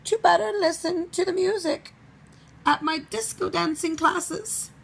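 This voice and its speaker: A woman's voice: extremely high pitch, measured pace, creaky, pitched above the performer's own voice, heavily acted, poor audio. A woman voicing a sly, scheming male wolf in a storybook reading, with a theatrical, wheedling manner. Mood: wheedling, improvising.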